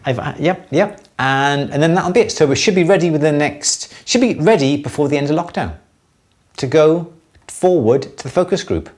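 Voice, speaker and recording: A man's voice: a little high, lively intonation, moderate pace, a little mellow. Chatty man with a light Welsh accent. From Wales. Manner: rambling, jokey, self-interrupting. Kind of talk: casual live Q&A chat.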